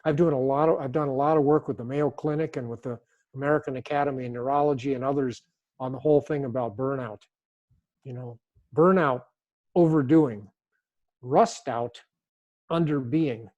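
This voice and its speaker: An older man speaks as an author being interviewed, thinking aloud and circling back over his own phrases. His voice sits at an ordinary middle pitch, and his delivery stays reflective. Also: moderate pace, muffled recording. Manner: thoughtful, repeats and restarts phrases. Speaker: author and guest.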